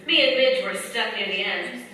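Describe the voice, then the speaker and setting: A woman's voice: mid-range voice, normal speed, pushed and bright, natural voice. An actress playing an earnest wartime heroine who narrates her own adventure in a dramatic, scripted radio play style. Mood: composed, recounting. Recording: echoey stage, distant mic, faint noise.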